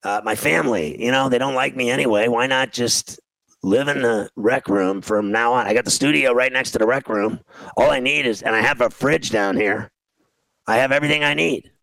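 A man in his fifties rambling through a casual comic rant as a talk show host, exasperated and self-deprecating, with a gravelly voice. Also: medium pitch, moderate pace, a bit bright, no echo, low-quality recording.

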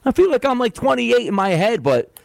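Animated man in his forties on sports radio. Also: very high-pitched, a little fast, gravelly, no echo. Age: 40s. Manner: animated, jokey, self-mocking. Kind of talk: casual radio banter.

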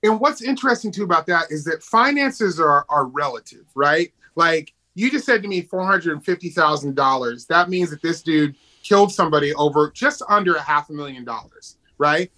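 A man in his forties chatting as a radio co-host, easygoing and full of slang. His voice is bright and animated, with pitch moving freely as he thinks out loud. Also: normal speed, few pauses. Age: 40s. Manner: laid-back, musing, streetwise slang. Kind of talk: casual talk radio banter.

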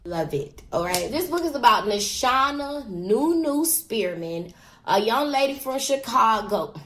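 A woman's voice: a little high, animated, unhurried, fairly bright tone. A woman chatting in a casual, sassy and playful way, telling a story. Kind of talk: casual comedic chat.

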